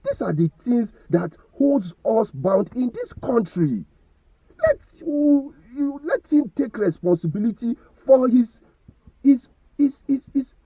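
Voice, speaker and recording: Nigerian guy ranting his opinion on a vlog, husky high voice jumping all over, keeps repeating words, dry dull recording. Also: no echo. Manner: repeats and stutters words, rhetorical questions. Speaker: vlogger giving opinion. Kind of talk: impassioned commentary.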